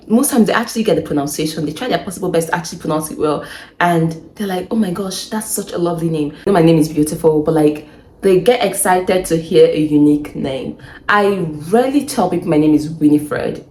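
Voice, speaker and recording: A young woman with a Nigerian accent giving casual advice in a vlog, chatty and quick to laugh, repeating points for emphasis. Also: fairly low pitch, a little mellow. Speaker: vlogger. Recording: echoey room.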